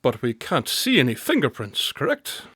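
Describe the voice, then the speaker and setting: An actor playing a restless, self-absorbed male detective inventor in a radio drama, brisk and condescending as he explains. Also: medium pitch, wildly swooping pitch, bright, forceful tone, breathy edge, dry recording, clean studio sound, exaggerated voice. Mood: eager, demonstrating. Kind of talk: modern radio drama acting.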